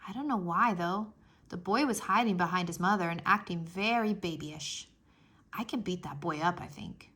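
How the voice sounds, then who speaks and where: Woman voicing an outspoken little girl, bright voice. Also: lowish voice, normal speed, husky. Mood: grumpy. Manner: expressive, childlike, emphatic. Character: outspoken, worried little kindergarten girl narrating her day. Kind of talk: animated children's read-aloud.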